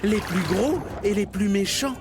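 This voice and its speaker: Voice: mid-range voice, a little slow, over music, close to an everyday voice. An actor playing a friendly, helpful elephant seal who explains things patiently in a cartoon dub. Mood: helpful storytelling. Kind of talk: cartoon dubbing.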